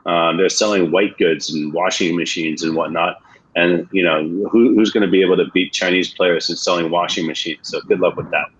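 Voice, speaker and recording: A man's voice: low-pitched, fairly even intonation, a little fast, husky. A man with an American accent, speaking as a venture investor on a webinar panel in a blunt, colloquial way with many filler sounds. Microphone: video call. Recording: muffled video-call audio.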